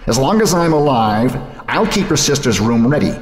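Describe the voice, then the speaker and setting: A man's voice: medium pitch, sing-song, normal speed, echoey room, big-room sound, faint music behind, voice pushed up, lightly acted. A man voicing a bitter, harsh old grandmother who scolds her daughter with contempt. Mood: scornful.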